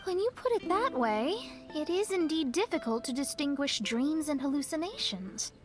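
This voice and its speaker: A woman playing a proud, thoughtful young woman in a video game, speaking in deliberate, formal phrases. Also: sits high, animated, faint music behind. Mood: pensive. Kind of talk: video game cutscene acting.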